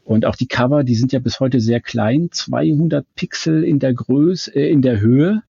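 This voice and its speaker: A man gives a detailed, carefully worded explanation as a guest in an interview. His voice is mellow and mid-pitched, in a dry recording that sounds slightly muffled. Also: moderate pace, creaky, husky, no echo. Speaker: fan website co-founder, podcast guest.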